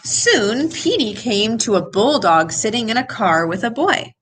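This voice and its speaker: Animated, high-voiced woman reading a storybook. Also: a little slow, slight background noise. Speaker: storybook reader. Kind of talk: animated children's read-aloud.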